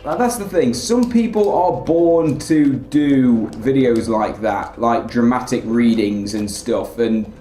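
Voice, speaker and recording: Guy rambling through a game playthrough, kinda monotone, um and like everywhere, echoey room. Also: mid-range voice, takes it slowly, few pauses, a little hiss or hum. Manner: rambling, filler-heavy, self-described monotone. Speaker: let's play commentator. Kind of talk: casual gameplay commentary.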